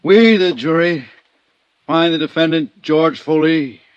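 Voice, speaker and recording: Man acting slow, solemn, nervous jury foreman. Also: on the high side, clean tone, dry recording, lightly acted. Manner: halting, formal, projected. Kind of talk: 1950s radio drama acting. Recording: dull, dry old radio recording.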